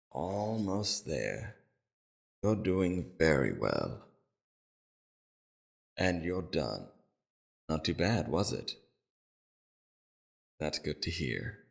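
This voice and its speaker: Man acting out a very deep, gravelly, courteous villain. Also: full character voice. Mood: gentle, amused. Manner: civil, measured, softly probing. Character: calm, courteous villain leader interrogating a captive. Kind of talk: scripted audio roleplay.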